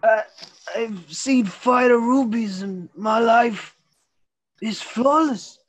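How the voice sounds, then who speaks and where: A man's voice: very high voice, slow. A man reading a script part as an amateur and chatting casually.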